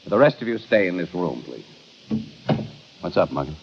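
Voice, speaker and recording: Low-voiced police inspector, old radio. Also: male voice, big pitch swings, normal speed, creaky, soft background music, heavily acted. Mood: probing. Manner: methodical, pointed questioning. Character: commanding, probing male police inspector. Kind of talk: old-time radio detective drama acting. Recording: muffled, noisy old radio recording.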